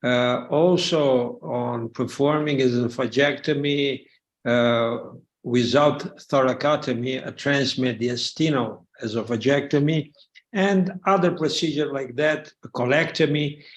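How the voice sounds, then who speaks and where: A surgeon asking a question at a webinar, halting and searching for words. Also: male voice, mid-range voice, highs cut off.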